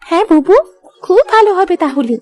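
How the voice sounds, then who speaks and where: Woman as very high, sweet young village wife. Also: expressive, a little fast, dark tone, soft background music. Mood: sweet, curious. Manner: sweet, agreeable, deferential. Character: sweet young younger daughter-in-law, deferential and eager. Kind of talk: Bengali moral-story cartoon dubbing.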